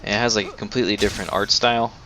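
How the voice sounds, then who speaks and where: A man's voice: on the low side, steady tone, very fast, slight background noise. A man giving casual, laid-back gameplay commentary with offhand swearing. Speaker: gamer commentator. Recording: loud game music behind voice. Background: video game music and audio.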